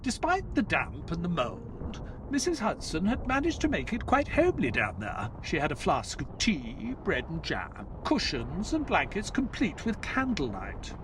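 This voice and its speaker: Earnest doctor-narrator, played by a man, slightly high voice, swooping expressive pitch, dramatic storytelling over faint noise. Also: unhurried, few pauses. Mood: measured, atmospheric.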